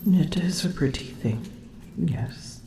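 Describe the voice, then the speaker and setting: A woman voicing a weary, aloof and vain female dragon, speaking slowly in a languid, often whispered ASMR roleplay. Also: fairly low pitch, animated, slight background noise. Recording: roomy echo with loud ambient drips.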